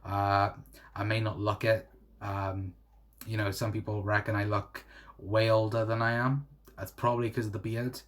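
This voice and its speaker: Young Welsh man, twenties, slightly low voice, rambling casual streamer reading comments. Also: flat delivery, moderate pace, fairly bright tone. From South Wales. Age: 20s. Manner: rambling, many fillers and "to be fair". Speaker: livestream host. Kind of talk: casual livestream chat reading viewer comments.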